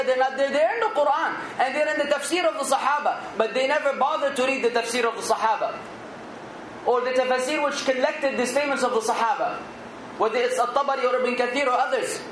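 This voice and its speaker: A man's voice: extremely high pitch, quick pace, reverberant, background noise. A man giving an earnest Islamic sermon, exhorting his listeners and now and then rising to emphatic outbursts. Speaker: Islamic preacher.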